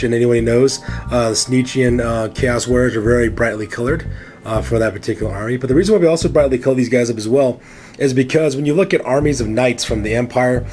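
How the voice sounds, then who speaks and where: A man presents his hobby collection, voice raspy and worn from a cold, words tumbling out quickly. He speaks at a middling pitch, calls out to his viewers like a showman and talks loosely with plenty of fillers, over faint background music. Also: a little mellow. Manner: showman-style address to viewers, chatty with many fillers. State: hoarse from a cold. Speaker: host of a miniatures hobby channel. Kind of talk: casual hobby showcase presenting.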